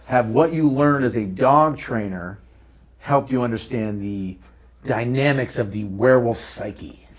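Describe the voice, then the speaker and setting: Mid-pitched man hosting a casual interview. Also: measured pace. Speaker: interview host.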